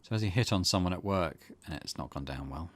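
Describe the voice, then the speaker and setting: A man's voice: deep voice, expressive, fast talker. A man hosting a let's play, reading game text aloud and adding wry, amused asides.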